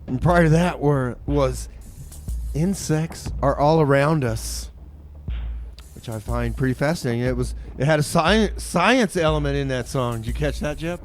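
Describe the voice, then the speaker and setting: A man's voice: fairly high pitch, moderate pace, faint music behind. A man hosting a community radio show with an easygoing, chuckling delivery in a radio studio. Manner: easygoing, chuckling, amused by his co-host. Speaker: radio DJ and host. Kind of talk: casual radio chat and announcements.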